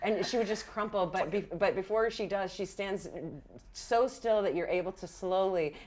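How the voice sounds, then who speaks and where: Woman game master, halting improvised narration. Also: medium pitch, darkish tone. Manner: halting, pieces scenes together mid-sentence, enthusiastic. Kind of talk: improvised tabletop game narration and casual chat.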